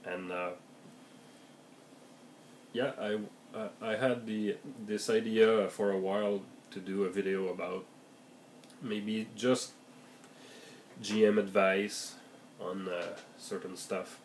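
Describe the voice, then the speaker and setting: Slow, low-voiced man with a raspy cold. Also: flat delivery, slight background noise. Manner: hesitant, many fillers and restarts, thoughtful. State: has a heavy cold, voice deeper and raspier than usual. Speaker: game master giving tips. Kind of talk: casual vlog monologue.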